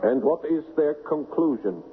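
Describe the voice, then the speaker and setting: Actor as a proud, higher-voiced imperial prince. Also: male voice, animated, normal speed, lightly acted. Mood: courtly appeal. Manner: formal, stately, commanding. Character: proud, formal imperial prince, firm and devoted. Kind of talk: 1970s radio drama acting. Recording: dull, clearly noisy old radio recording.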